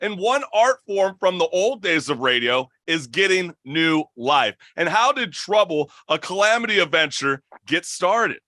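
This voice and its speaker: Male talk show host, forceful high voice, slangy and effusive, close dry sound. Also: very animated, a little slow, few pauses, dry recording. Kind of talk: upbeat interview hosting.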